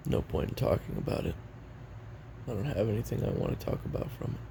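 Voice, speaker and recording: An actor playing a weary, regretful young assassin in an audio roleplay, making a halting, brooding confession in a gravelly voice. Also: male voice, lowish voice, animated, noisy background. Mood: guarded, awkward. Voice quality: gravelly, creaky. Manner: halting, brooding, casually profane.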